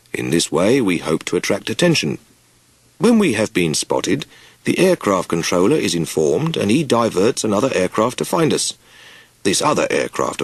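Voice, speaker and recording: A man's voice: sits low, no echo, exaggerated voice. A man voicing a by-the-book air force sergeant in an audiobook, lecturing pilots in a formal, clipped, procedural manner. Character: crisp, by-the-book male RAF sergeant instructor lecturing pilots.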